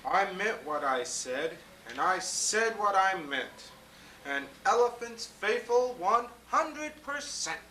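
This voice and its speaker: A man's voice: high-pitched, sing-song, takes it slowly, bright, forceful tone, slight background noise, pitched above the performer's own voice, full character voice. A man voicing a faithful, stubborn male elephant in a storybook, speaking steadily and earnestly. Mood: steadfast. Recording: roomy, distant mic.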